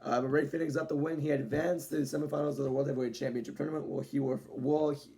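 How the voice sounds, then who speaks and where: Gravelly, chatty young man reviewing. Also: on the high side, little pitch movement, fast, dark tone. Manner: chatty, rambling, with restarts, laughs and swearing. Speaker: wrestling show reviewer. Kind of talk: casual spoken review to camera. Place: home room with dogs nearby.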